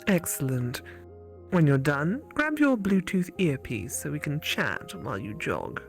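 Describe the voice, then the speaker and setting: A woman's voice: sits low, very animated, measured pace, vocal fry, soft background music, full character voice. A woman voicing an earnest, curious female AI companion in a visual novel, with a quizzical, wondering manner.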